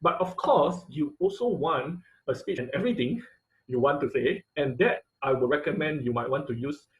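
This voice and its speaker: A man's voice: fairly high pitch, animated, normal speed, rough recording. A man giving an informal technical lecture as an online instructor, often repeating phrases and checking in with okay and right.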